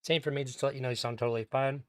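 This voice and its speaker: A man casually commenting on an old stream. He calls his own voice higher-pitched, rambles, and swears now and then. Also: steady tone, rapid-fire, dry recording, studio quality.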